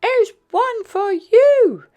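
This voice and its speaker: A woman's voice: very high-pitched, lively intonation, extremely slow, darkish tone, voice pushed up, heavily acted. A woman voicing a playful, boisterous young male animal friend in a children's storybook. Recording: music behind the voice.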